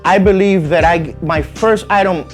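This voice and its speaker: A man's voice: high-pitched, moderate pace, faint music behind. Easygoing man in his forties, chatting. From Dominican Republic. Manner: easygoing, many "you know what I mean" fillers. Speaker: guest. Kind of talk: casual interview chat.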